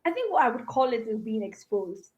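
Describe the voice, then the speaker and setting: A woman from Ghana with an American accent, chatting casually as a podcast cohost in a lively way, with lots of "like.". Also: a little high, a little fast, lo-fi sound. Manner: animated, laughing easily, lots of "like". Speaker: podcast cohost and music maker.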